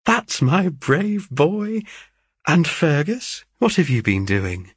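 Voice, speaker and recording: Man as a high-voiced doting mother. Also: sing-song, takes it slowly, husky, no room sound, clean studio sound, exaggerated voice. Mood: fond. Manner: gentle, fussing, genteel. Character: doting, soft-hearted middle-aged mother, easily shocked. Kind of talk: dramatised audiobook reading of a classic novel.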